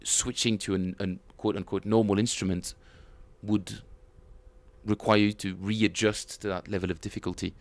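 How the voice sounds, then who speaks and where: A man with a French accent, chatting casually and self-mockingly with viewers as he streams his bass practice, often chuckling. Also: little pitch movement, moderate pace. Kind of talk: casual livestream chat.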